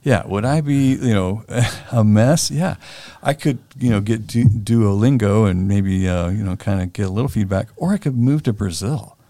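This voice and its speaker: A man with a fairly low voice talks through his ideas in an earnest, reflective way, pausing on fillers as he goes. The sound is dry and close, with no room around it. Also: dark tone, dry recording. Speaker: podcast guest, author. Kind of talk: conversational interview.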